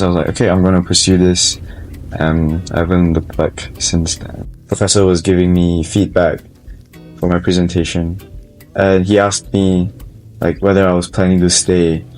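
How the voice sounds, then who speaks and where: Low, flat-voiced Malaysian young man. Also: poor audio. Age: 20s. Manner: earnest, reflective, with frequent restarts. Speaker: college student. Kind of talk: documentary interview.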